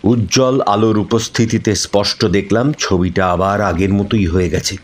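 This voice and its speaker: A man's voice: fairly low pitch, steady tone, moderate pace. A man giving a dramatic scripted first-person narration of a ghost story.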